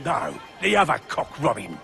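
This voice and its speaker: An actor playing a taunting, belligerent British ruffian in a video game, jeering with a British accent. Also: male voice, medium pitch, wildly swooping pitch, creaky, sharp tone, heavily acted. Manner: jeering, drawn-out. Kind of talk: dramatic video game acting. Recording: music behind the voice.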